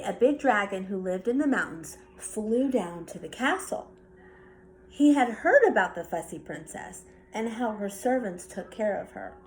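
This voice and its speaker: A woman reading a children's bedtime story aloud with expressive narration. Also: fairly high pitch, slight background noise, rough recording. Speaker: storybook reader.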